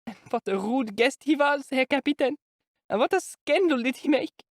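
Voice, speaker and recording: A reader voicing an excitable, indignant German landlady with a German accent, rattling out her complaints. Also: high voice, moderate pace, dry recording, clean studio sound, voice pushed up. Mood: aggrieved. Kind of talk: audiobook character voice.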